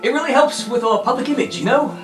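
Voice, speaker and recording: A man's voice: very high-pitched, fast talker, reverberant, distant mic, music in the background, voice pushed up. A man playing a peppy, condescending superhero teammate handing out orders in an improvised roleplay podcast. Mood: chipper, instructive.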